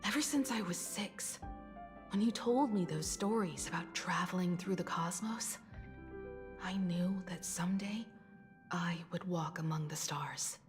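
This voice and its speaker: An actress playing a calm, resolute grown daughter in a video game, composed and earnest. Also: female voice, on the low side, unhurried, over music, close to an everyday voice. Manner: composed, earnest, sometimes whispering.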